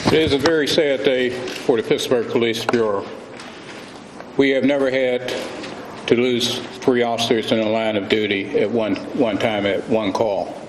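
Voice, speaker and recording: A man with an American accent gives a formal briefing as a police chief. His mid-pitched delivery is solemn and deliberate. The recording is echoey, with clear background noise. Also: steady tone. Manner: grave, deliberate. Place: press conference room. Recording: echoey room, clearly noisy audio.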